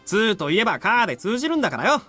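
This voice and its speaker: An actor playing a brash, teasing male high school student in a visual novel, with a rough, casual manner. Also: big pitch swings, very fast, pushed and bright, faint music behind, heavily acted. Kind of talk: Japanese visual novel voice acting.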